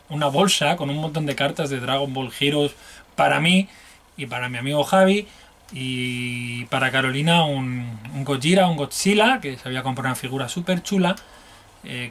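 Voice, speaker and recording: Casual video host: male, thirties, Spain accent, mid-pitched, colloquial and chatty, home room with faint noise behind. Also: a bit bright. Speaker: video host showing collectibles. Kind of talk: casual unboxing chat. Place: small room at home, indoors.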